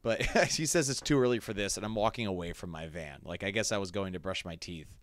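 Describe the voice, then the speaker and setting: A man's voice: sits low, a little fast, no room sound, studio quality. A man giving casual running commentary on game cutscenes as actor and host, chatty and self-mocking.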